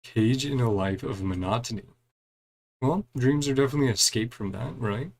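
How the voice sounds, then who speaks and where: A man gives casual let's-play commentary in a medium-pitched voice. He reads the game's dialogue out loud in a conversational way. Between lines he drops in brief, chatty, murmured reactions. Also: normal speed. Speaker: gaming streamer playing through a story quest.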